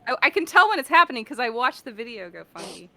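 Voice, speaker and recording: A woman's voice: fairly high pitch, sing-song, fast, pushed and bright, poor audio. A woman chatting casually as an interview guest, playful and rambling as she talks about her work. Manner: playful, rambling, uses silly coinages. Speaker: guest, voice actor and audio director.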